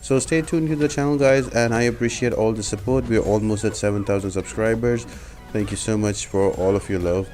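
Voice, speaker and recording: A man's voice: fairly low pitch, steady tone, brisk, a little mellow, faint music behind. A man giving casual tutorial commentary over gameplay, as a video game guide creator.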